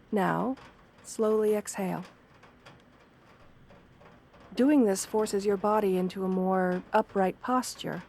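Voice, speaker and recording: A woman voicing a sweet, professional wolf-girl therapist in a soft-spoken ASMR roleplay, speaking in a gentle, measured, reassuring way. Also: medium pitch, slight background noise, close to an everyday voice. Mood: calm, guiding.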